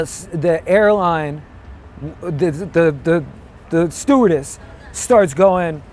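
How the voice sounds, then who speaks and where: Higher-voiced man telling rambling stories. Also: a little hiss or hum. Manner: rambling storyteller with swearing. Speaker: comedian guest. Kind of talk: casual street chat. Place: outdoors on a city street.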